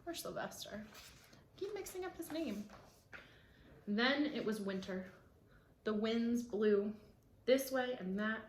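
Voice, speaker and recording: A woman reading a picture book aloud to children, expressive, with explanatory asides for young listeners. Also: fairly high pitch, takes it slowly, long pauses, roomy sound, slight background noise. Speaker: read-aloud storyteller.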